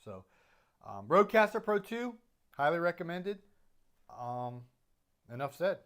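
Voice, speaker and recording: A man's voice: a little high, very animated, measured pace, fairly bright tone, clear, resonant tone. A man who is a podcaster, casually reviewing his audio gear in a chatty, self-deprecating way with lots of fillers and restarts.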